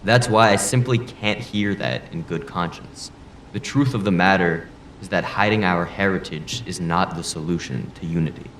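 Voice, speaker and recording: An actor playing a proud, principled politician in an audio drama debate, speaking in an earnest, blunt and deliberate way. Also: male voice, deep voice, a bit bright. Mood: earnest, resolute. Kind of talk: audio drama acting, formal debate rhetoric. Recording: roomy echo, faint background noise.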